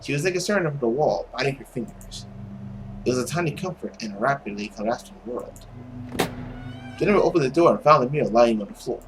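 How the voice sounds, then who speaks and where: A man's voice: mid-range voice, lively intonation, a little fast, noisy background. A man reading a visual novel aloud dramatically as a let's player, with commentary of his own.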